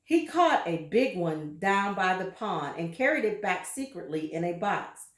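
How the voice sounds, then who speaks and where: A woman reading a children's story aloud with deliberate, dramatic emphasis and brief asides to her listeners. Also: on the low side, big pitch swings, echoey room.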